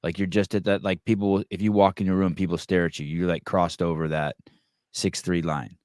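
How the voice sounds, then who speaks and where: A man of Armenian first language hosting a podcast, asking rambling, halting questions in a frank, casual way. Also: low-pitched, level tone, a little mellow, no room sound, clean studio sound. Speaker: podcast host. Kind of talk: casual interview talk.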